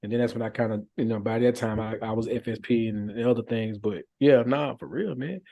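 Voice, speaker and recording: A man's voice: mid-range voice, fairly even intonation, brisk, vocal fry, mellow. A man who podcasts, talking casually and conversationally in everyday slang. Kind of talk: casual chat. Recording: dull, lo-fi audio.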